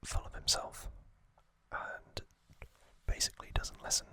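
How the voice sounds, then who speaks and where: A man whispers as the host of an ASMR recording. His voice is fully unvoiced and breathy, with a bright, sibilant edge. He takes his time, speaking very slowly and gently with many pauses. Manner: slow, gentle, pausing delivery.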